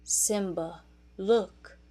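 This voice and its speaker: A woman's voice: mid-range voice, drawn-out words, dark tone. A woman voicing a solemn, protective male lion king and father in a storybook. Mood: gentle, proud.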